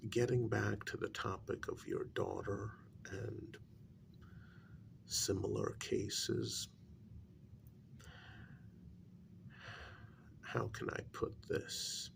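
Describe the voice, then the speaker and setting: A man playing a grave, blunt paranormal investigator in a soft ASMR role-play, speaking haltingly in a breathy, gravelly voice that drops near a whisper. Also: fairly low pitch, steady tone, noisy background, full character voice. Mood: apologetic, hesitant. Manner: slow, halting, deliberate delivery with long pauses.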